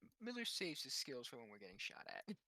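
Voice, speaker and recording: A man's voice: medium pitch, huge pitch swings, a little fast, pushed and bright, no room sound. A man chatting casually as a player in a tabletop roleplaying game. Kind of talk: casual chat.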